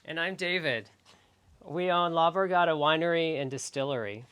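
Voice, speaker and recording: A man's voice: fairly high pitch, moderate pace, a bit bright, clean tone. A man co-owning a winery, chatting casually in an upbeat, lightly teasing way. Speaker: winery co-owner. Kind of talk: casual promo chat.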